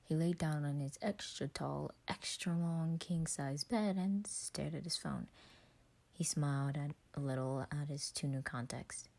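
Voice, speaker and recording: Mellow low-voiced woman reading a story. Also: a little slow, creaky. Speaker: podfic reader. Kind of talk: scripted story narration.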